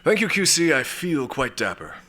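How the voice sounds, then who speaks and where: A man's voice: on the high side, big pitch swings, moderate pace, a loud crowd. A man playing a hard-drinking, lecherous space lord in a comic sci-fi audio drama, pompous, florid and blustering. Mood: haughty, vain.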